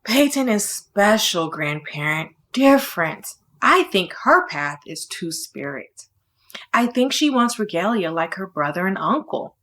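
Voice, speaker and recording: A woman reads as a caring auntie from a picture book. She speaks gently and coaxingly, with a reassuring, earnest temper, in a middle-pitched voice. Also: sing-song, unhurried. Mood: earnest, concerned.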